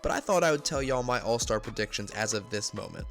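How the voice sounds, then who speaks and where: A man's voice: mid-range voice, expressive, fairly bright tone, faint music behind. A young man with a congested, slightly nasal voice giving casual sports commentary, reeling off player statistics. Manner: stat-heavy, rapid listing of numbers. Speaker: basketball video creator.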